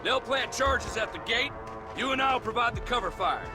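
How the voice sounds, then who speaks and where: Army captain: high, strained commands, clipped and urgent, swooping, music clearly underneath. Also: male voice, animated, normal speed. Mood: urgent, commanding. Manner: barking, clipped. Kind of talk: video game cutscene acting.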